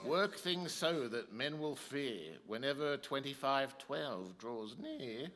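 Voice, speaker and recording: A man's voice: mid-range voice, expressive, slow pace, fairly bright tone. A man playing a gleefully vicious Roman emperor, relishing cruel rhymes theatrically in a radio play. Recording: echoey hall, noisy crowd behind.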